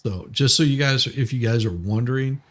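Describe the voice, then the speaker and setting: A man's voice: medium pitch, moderate pace. A man streaming casual, jokey chat in a rambling way, often repeating his words as he goes. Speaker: live streamer.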